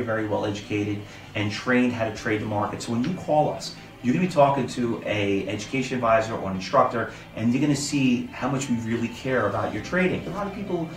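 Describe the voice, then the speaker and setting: Earnest middle-voiced male presenter, persuasive pitch, roomy off-mic sound. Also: far from the mic. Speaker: trading school founder. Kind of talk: promotional testimonial talk. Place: hotel conference room.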